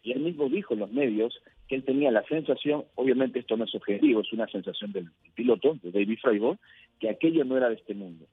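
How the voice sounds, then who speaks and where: A man from Peru, answering as a radio interview guest in measured, emphatic phrases. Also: medium pitch, lively intonation, breathy edge, no room sound. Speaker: interview guest, UFO researcher. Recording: thin phone-like line.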